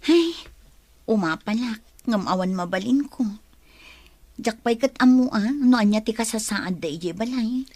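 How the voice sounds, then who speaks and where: An actress plays a scheming, envious young woman in a radio drama. Her voice sits fairly high and swoops around as she dodges questions. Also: expressive, measured pace, darkish tone, dry recording. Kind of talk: Ilocano radio drama acting.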